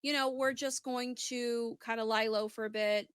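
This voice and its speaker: A woman's voice: a little high, fairly even intonation, moderate pace, fairly bright tone, smooth, no echo, clean studio sound. A woman giving a casual intuitive reading in conversation, speaking tentatively and often rephrasing herself as she goes. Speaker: psychic reader on a talk show.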